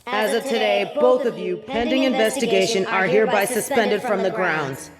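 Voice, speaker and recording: A woman voicing a stern, calculating headmistress of a wizard school in an audio drama, speaking in a formal, measured, imperious way. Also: mid-range voice, close to an everyday voice. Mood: cold, commanding. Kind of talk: scripted audio drama acting.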